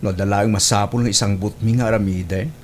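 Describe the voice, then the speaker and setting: A man's voice: fairly low pitch, fairly even intonation, fairly quick, a little hiss or hum, natural voice. A man with an Ilocano Filipino accent playing a polite, plain-spoken office coworker in an audio drama. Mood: polite, matter-of-fact. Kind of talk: Ilocano radio-style drama acting.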